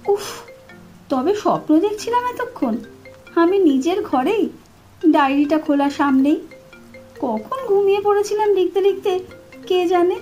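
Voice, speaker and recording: A woman narrating a first-person horror story in an expressive, suspenseful, dramatic scripted style. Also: very high voice, measured pace, pauses often, faint music behind. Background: atmospheric sound effects.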